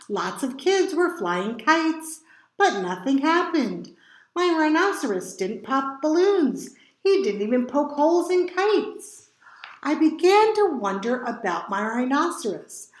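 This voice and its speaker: A woman reading picture books aloud to children as a storytime reader, with dramatic emphasis and drawn-out words. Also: high-pitched, roomy sound. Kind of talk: expressive picture book read-aloud for children.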